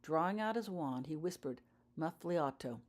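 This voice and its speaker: Woman, low pitch, audiobook narration, author reading own story. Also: lively intonation, normal speed, warm tone.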